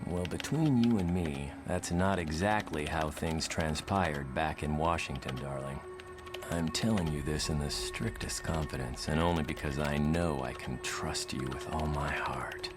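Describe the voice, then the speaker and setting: A man's voice: a bass voice, measured pace, loud music behind the voice. A man playing an earnest, lovestruck intellectual mutant in a motion comic, articulate and confiding. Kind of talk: motion comic letter narration.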